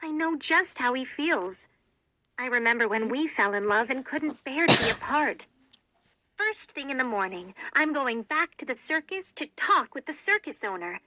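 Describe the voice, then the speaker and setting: A woman playing a kind, eager young princess in a storybook, sweet and expressive. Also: sits high, normal speed, dry recording, rough recording. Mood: sympathetic.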